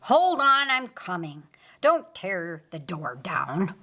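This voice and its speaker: A woman reads as a skeptical, grumbling man in an audiobook tale. He questions and complains at a measured pace, reluctant and a little gruff in manner. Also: on the low side, big pitch swings, a bit bright, slight background noise, exaggerated voice. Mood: irritated. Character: gruff, doubtful, grumbling man roused from hiding.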